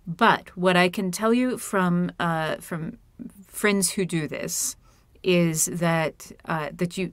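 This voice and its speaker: A woman in her thirties speaks as a guest on a home video call with a wired earphone mic. Her voice sits a little low, and the sound is dry and close, with no room around it. She talks loosely and self-deprecatingly, with plenty of ums and restarts. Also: dry recording. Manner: chatty, self-deprecating, many fillers. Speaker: author and puppeteer guest. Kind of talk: casual video-call interview. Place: home room with a red curtain.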